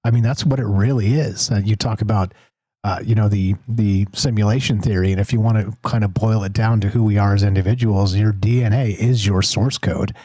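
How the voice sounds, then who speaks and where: A man's voice: on the low side, fairly even intonation, a little fast, mellow, no echo. A man hosting a call-in talk show, rambling with many restarts and frequent laughs. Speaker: host.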